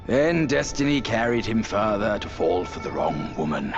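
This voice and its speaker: An actor playing a brash, wry creature warrior in a video game, with a sardonic, swaggering manner. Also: male voice, on the low side, sing-song, moderate pace, highs cut off, music in the background. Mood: wry, musing. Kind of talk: video game character acting.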